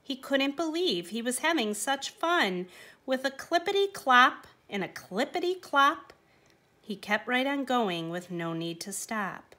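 Slow, bright woman reading a storybook. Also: high-pitched, big pitch swings. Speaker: storybook reader. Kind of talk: expressive read-aloud of rhyming children's picture book.

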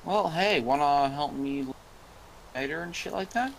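A man's voice: a little high, measured pace, vocal fry, slight background noise, rough recording. A young man chatting casually while playing a game, swearing and laughing often. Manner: excitable, swears, yells and laughs. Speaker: gamer co-host. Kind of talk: casual gameplay chat.

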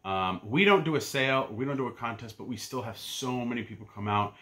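A man in his thirties giving a casual, rambling rundown of his weekly comic picks from a shelf-lined room at home, full of ums and uhs and trailing off quietly. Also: mid-range voice, fairly quick. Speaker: comic book vlogger. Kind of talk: casual pull-list rundown.

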